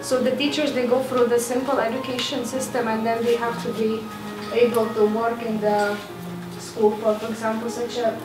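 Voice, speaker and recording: Young woman, steady explaining, higher voice, roomy and distant, music underneath. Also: moderate pace. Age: young adult. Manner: plain, steady explaining with fillers. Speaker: student teacher presenting. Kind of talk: informal panel talk. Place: dim hall with seated audience. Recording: roomy far-off hall recording with music.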